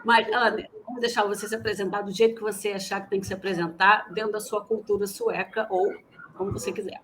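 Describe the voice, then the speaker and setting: A woman co-hosting a livestream talk show, chatty and lively. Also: mid-range voice, quick pace, slight background noise, rough recording. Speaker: co-host.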